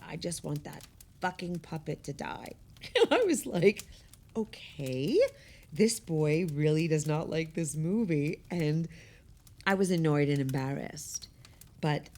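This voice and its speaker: An actress playing a teasing wife who tells stories emphatically and conversationally among friends in a naturalistic audio play. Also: female voice, low-pitched, mellow, heavily acted.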